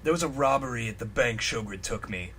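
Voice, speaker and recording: A man's voice: mid-range voice, animated, a little fast, a bit bright, a little hiss or hum, voice pushed down, close to an everyday voice. A man voicing a young male wizard student in a casual, earnest way for a comic story reading. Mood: earnest recalling. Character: young male wizard student, laid-back wrestler hero.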